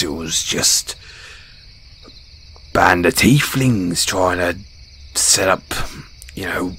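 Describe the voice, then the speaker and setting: Casting: male actor as kindly battle-scarred dwarf smith, low-voiced and unhurried, humble fantasy roleplay storyteller, crickets faintly behind. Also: a bit bright, slight background noise, full character voice. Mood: wry reminiscing. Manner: folksy, chuckling, hesitant storyteller. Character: kind, modest, battle-scarred dwarven blacksmith, hearty adventurer. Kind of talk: fantasy audio roleplay, spoken to a companion.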